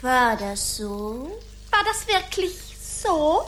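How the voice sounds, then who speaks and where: A woman's voice: high voice, big pitch swings, slow, a bit bright, a little hiss or hum, heavily acted. An actress playing a cruel, mocking witch goddess in a radio play, theatrical and gleefully menacing. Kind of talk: German radio play acting.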